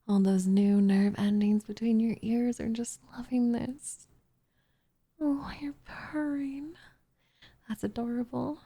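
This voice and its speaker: A woman's voice: fairly high pitch, steady tone, takes it slowly, mellow, breathy edge, heavily acted. A woman voicing a doting, possessive witch in an intimate ASMR roleplay, cooing and teasing with smug condescension, often slipping into a whisper close to the microphone. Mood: cooing, adoring. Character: doting, possessive young witch turning captive into pet cat.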